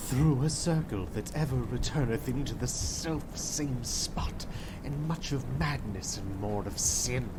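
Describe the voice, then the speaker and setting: A woman's voice: a bass voice, noisy background. A woman voicing a mad, menacing horror creature that recites macabre verse in a theatrical, gloating way for an audio drama. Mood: sinister, ominous. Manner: theatrical, drawn-out, gloating delivery.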